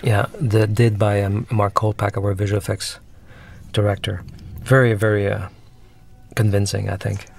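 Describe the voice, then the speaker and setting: A man, a film director, giving commentary on his film in a halting way, searching for words. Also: fairly low pitch, level tone, vocal fry, darkish tone.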